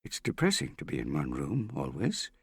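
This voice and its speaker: A man reads the part of a kindly young girl, timid and careful. The delivery is gentle, soothing and a little apologetic, in audiobook style with a dry, close sound. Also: extremely low pitch, huge pitch swings, normal speed, breathy edge, dry recording, heavily acted. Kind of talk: audiobook character acting.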